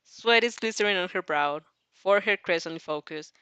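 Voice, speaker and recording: A woman's voice: on the low side, fairly quick, bright, forceful tone, smooth, no echo, dull audio. A woman reading fan fiction aloud expressively, giggly and reacting as she goes, sometimes stumbling over words.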